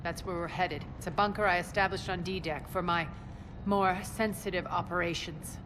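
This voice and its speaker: An actress playing a ruthless, commanding crime boss in a video game, giving clipped, imperious orders. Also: female voice, on the low side, steady tone, moderate pace, background noise, close to an everyday voice. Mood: curt, commanding. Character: commanding, ruthless female crime boss leading her own soldiers. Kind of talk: video game cutscene acting. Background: music.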